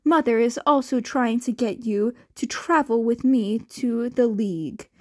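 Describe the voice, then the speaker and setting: A woman's voice: on the high side, unhurried, a little mellow, no room sound, studio quality, lightly acted. A woman voicing a stiff, formal young boy in an audio story.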